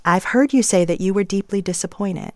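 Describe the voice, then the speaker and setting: A woman's voice: mid-range voice, a little fast, no echo. A woman hosting a radio interview, asking engaged questions from a studio microphone.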